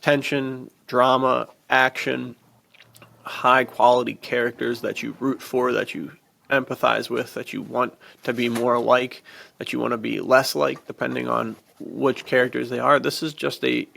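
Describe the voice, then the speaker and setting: Very creaky-voiced man chatting enthusiastically. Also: mid-range voice, little pitch movement, unhurried, sharp tone. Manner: emphatic, informal, peppered with "dude" and "like". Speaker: podcast co-host and fiction author. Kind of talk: casual enthusiastic podcast chat.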